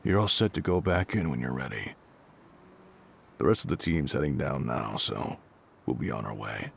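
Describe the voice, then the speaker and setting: A man playing a gruff, kindly middle-aged firefighter captain in an intimate audio roleplay, reassuring and a little awkward, with a gravelly voice. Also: very deep voice, expressive, slight background noise, full character voice. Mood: gentle, concerned. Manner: reassuring, slightly awkward, hesitant pauses.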